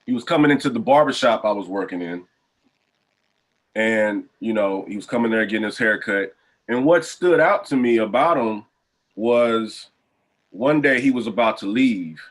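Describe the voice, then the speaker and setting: A man with a somewhat low voice hosts a podcast over a video call. He talks in an easygoing way, with casual slang and friendly asides. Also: normal speed. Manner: laid-back, relaxed slang. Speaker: podcast host.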